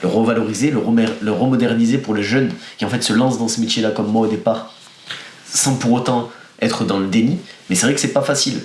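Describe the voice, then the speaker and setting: A man's voice: lowish voice, fast, a little hiss or hum. A young man with a cold, a start-up founder talking candidly and with energy in a casual podcast interview. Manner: energetic, candid, many restarts. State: has a cold. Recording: roomy echo.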